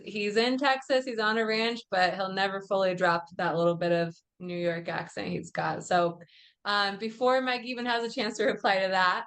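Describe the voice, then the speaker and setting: A woman's voice: mid-range voice, a bit bright. A woman co-hosting a podcast, giving a friendly, upbeat introduction to a firm's services. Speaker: podcast co-host. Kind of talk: casual podcast chat and firm introduction.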